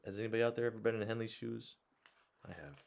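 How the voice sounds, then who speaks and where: A man reading a storybook aloud to children in an expressive way, pausing to put questions to his young listeners. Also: fairly low pitch, creaky. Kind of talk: read-aloud storytelling to children, with conversational asides.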